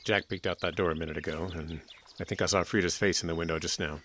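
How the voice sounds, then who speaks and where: A man's voice: low voice, steady tone, quick pace, a bit bright, a little hiss or hum. A man acting in a radio drama as a weary, authoritative small-town sheriff with an exasperated manner. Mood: firm, controlled. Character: stern, weary male small-town sheriff, war veteran.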